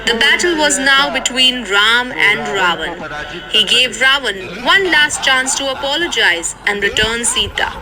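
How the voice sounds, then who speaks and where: A woman's voice: a little high, expressive, measured pace, crowd noise, low-quality recording. A woman narrating a scripted story for children as a storyteller, in voice-over.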